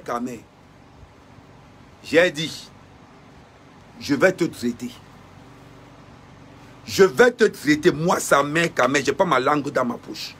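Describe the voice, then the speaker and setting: A man giving an impassioned denunciation in a repetitive, preacher-like cadence with shouted bursts. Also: fairly high pitch, slightly rough.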